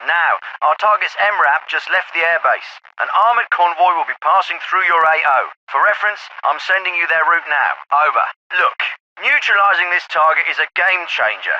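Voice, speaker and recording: A man's voice: on the high side, bright, forceful tone, dry recording, dull audio. A man with a British accent voicing a terse, calculating special forces officer in a video game, clipped and authoritative, through a radio filter. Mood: brisk, businesslike. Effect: radio filter on many lines. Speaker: squad commander giving orders.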